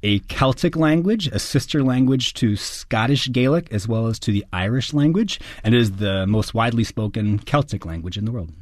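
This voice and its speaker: A middle-aged American man, an actor, answering interview questions in an earnest, articulate and measured way. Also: medium pitch, animated, no echo, clean studio sound. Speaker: actor and guest.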